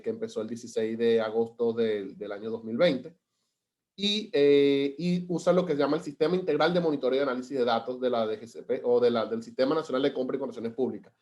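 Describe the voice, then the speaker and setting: A man's voice: medium pitch, a little mellow, muffled recording. A man from Venezuela with a Venezuelan Spanish accent, presenting on a video call webinar, talking with many hesitations and restarts. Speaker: government data analyst presenting.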